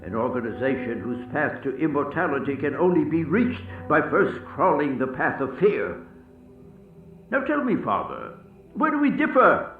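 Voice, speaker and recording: An ancient male vampire acted by a man in a radio horror play, taunting and theatrical. His highish voice swings dramatically up and down, and it comes through tinny and echoey. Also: wildly swooping pitch, normal speed, faint music behind. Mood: scornful. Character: ancient male vampire, menacing, mocking tempter of a priest. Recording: tinny band-limited audio, distant, echoey.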